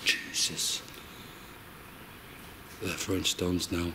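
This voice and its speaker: Slow, low-voiced man on a ghost hunt. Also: flat delivery. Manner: halting, searching for words. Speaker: paranormal investigator acting as medium. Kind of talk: describing impressions and calling out to spirits on a ghost hunt. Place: inside a cave at night. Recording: clear background noise and ambience.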